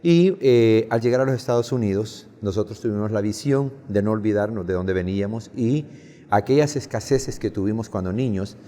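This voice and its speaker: A man's voice: lowish voice, normal speed, warm tone. A Salvadoran man in his fifties giving earnest answers as an interview guest. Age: 50s. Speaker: interview guest, head of a community charity. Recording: reverberant hall sound.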